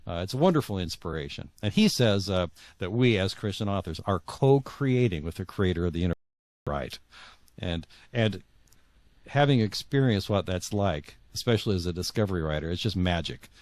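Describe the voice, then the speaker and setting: A middle-aged or older man with a low-pitched voice, captured close and dry. He talks as a guest in a reflective conversation, circling back over words as he looks for the right phrase. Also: lively intonation, darkish tone, dry recording. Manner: halting, repeats words while searching for phrasing.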